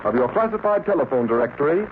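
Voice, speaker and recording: A male announcer from 1930s radio delivers sponsor copy in a formal, persuasive style. His fairly high voice swings widely in pitch for emphasis, on a thin, phone-like vintage recording. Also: lively intonation, quick pace. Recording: tinny 1938 radio broadcast, light noise.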